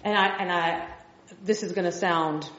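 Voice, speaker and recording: Planning staffer, woman, clear explainer, dull roomy hall sound. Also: on the low side, fairly even intonation, normal speed, fairly bright tone, a little hiss or hum. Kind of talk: explaining code at a public hearing. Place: meeting hall.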